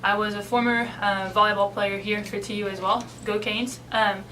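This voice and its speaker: A woman's voice: mid-range voice, flat delivery, normal speed, sharp tone, far from the mic, slight background noise, rough recording. Young Belgian-born woman being interviewed. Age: 20s. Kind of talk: casual interview.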